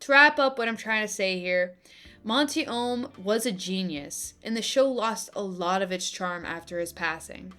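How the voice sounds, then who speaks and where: A young woman delivering opinionated, scripted video-essay commentary with casual asides, in an expressive, emphatic manner. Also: mid-range voice, normal speed. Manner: expressive, emphatic, gushing and griping. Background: background music.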